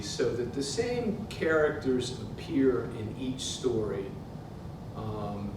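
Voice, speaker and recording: A man in his sixties with an American accent, giving a literary reading to a live audience. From Pittsburgh, United States. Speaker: author reading from his book. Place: indoor museum event space. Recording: very echoey hall, distant mic, noisy rumble.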